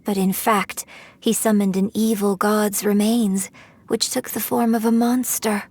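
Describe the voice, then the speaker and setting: A woman voicing a refined, gentle young opera performer in a video game, polite, measured and earnest. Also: mid-range voice, level tone. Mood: thoughtful, troubled.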